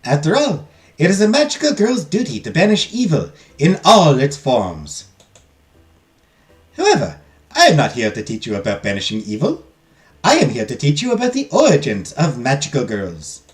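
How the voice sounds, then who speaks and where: A man's voice: a little high, wildly swooping pitch, normal speed. Iselin Arcane, a man doing a lecturing magical-girl teacher. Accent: put-on Spanish-like accent. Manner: formal, lecturing, dramatic. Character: prim female magical-girl academy teacher. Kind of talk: reading game dialogue aloud.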